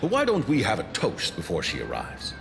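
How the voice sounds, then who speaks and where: A man's voice: on the low side, huge pitch swings, moderate pace, creaky, heavily acted. A man voicing a courteous, theatrical scholar in a video game, with a refined way of talking. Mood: courteous, musing. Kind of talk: anime-style video game voice acting. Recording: long reverb, music behind.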